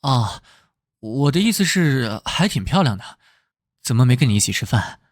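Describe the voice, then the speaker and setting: A man playing an earnest police detective who gets flustered and jealous in private, in an animated drama. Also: medium pitch, moderate pace, lightly acted. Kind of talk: animated drama voice acting with inner monologue. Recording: loud background music under the voice.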